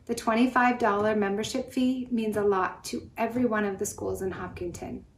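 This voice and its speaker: A roomy, echoing recording with some background noise carries a woman's voice at an ordinary middle pitch. She reads a scripted community announcement in a measured, organized way. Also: steady tone. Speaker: parent-teacher organization announcer.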